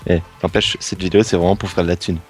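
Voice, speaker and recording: A man's voice: sits low, little pitch movement, quick pace, faint music behind. A young man teasing his friend and stumbling over his words in a casual gaming chat. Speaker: co-host, fellow player.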